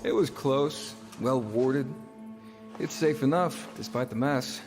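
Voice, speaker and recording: An actor playing a grim, world-weary demon hunter in a motion comic, brooding and measured, protective and wry. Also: male voice, mid-range voice, lightly acted. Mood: dry, guarded. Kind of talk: dramatic motion comic voice acting. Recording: echoey voice over music. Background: music.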